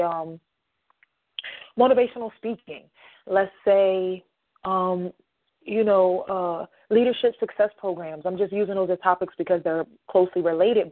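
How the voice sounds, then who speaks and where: A woman hosting a podcast who talks straight to her listeners in a loose, rambling way, full of fillers and sudden rises in pitch. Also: no echo. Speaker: podcast host. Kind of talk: casual monologue to listeners. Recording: tinny dry phone-like line.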